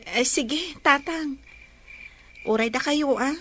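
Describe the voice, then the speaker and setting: A woman playing an earnest, poor young village woman with a plaintive, measured delivery in a radio drama. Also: sits high, fairly bright tone, a little hiss or hum. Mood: troubled, pensive.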